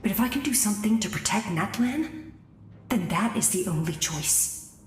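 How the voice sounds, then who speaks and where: A woman's voice: medium pitch, expressive, normal speed, reverberant, soft background music, natural voice. A woman voicing a selfless, dutiful warrior mentor in a video game, earnest and grave. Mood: sorrowful, proud.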